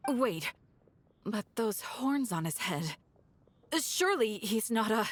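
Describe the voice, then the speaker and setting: Lively, wary townswoman. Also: on the high side, slow pace. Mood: alarmed. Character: polite, curious, wary townswoman. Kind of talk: video game voice acting.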